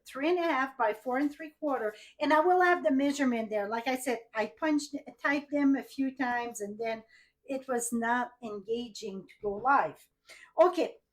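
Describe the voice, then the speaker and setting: A woman with a French Canadian accent, whose first language is French, chatting her way through a live card-making tutorial and asking viewers questions as she works. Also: a little high. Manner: chatty, thinks aloud, asks viewers directly.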